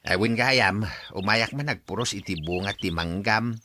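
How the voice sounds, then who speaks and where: An actor playing an older uncle who praises his nephew's farm, hearty and quick to laugh. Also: on the low side, bright, forceful tone, a little hiss or hum. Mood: approving. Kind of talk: audio drama acting.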